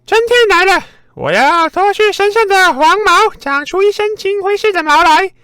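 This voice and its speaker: A man's voice: very high voice, expressive, normal speed, sharp tone, no echo, studio quality, exaggerated voice. A man playing a cheeky, cheerful little squirrel in a children's radio drama, with playful delivery. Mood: amused, cheerful.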